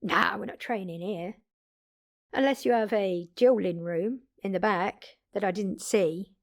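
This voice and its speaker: Audio drama role: woman as immortal vampire mentor, wry, blunt, teasing, conversational. Medium pitch, unrushed pace. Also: a little slow, natural voice. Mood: curious, brisk. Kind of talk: scripted one-sided audio drama roleplay.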